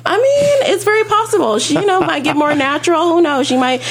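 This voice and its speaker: A woman talking casually as a podcast panelist, with an expressive, conversational delivery. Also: very high voice, brisk, creaky, slight background noise.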